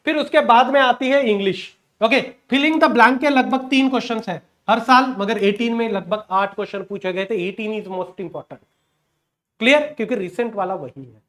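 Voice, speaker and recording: A man's voice: high voice, normal speed, fairly bright tone. A man with an Indian accent lecturing as an exam coaching teacher, instructional, mixing in English terms and often checking in with short tag words. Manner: instructional, punctuates points with check words like "okay" and "right"; mixes English terms into speech.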